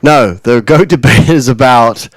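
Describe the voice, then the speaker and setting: A man's voice: medium pitch, a little slow, dry recording. A man in his forties hosting a radio show, chatting casually, rambling with frequent restarts and chuckles. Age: 40s. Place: radio studio.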